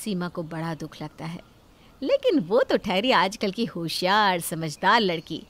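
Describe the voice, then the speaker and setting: A woman's voice: fairly low pitch, sing-song, unhurried, a bit bright. A woman telling a scripted moral story as a dramatic narrator.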